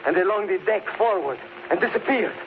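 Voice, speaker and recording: A terrified foreign sailor in a vintage radio play. His high voice swings wildly as he repeats himself in broken, superstitious phrases, on a tinny recording. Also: expressive, brisk. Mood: fearful, insistent. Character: frightened, superstitious foreign sailor, raving with terror. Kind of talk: 1930s radio drama acting. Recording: noisy, tinny old-time radio recording.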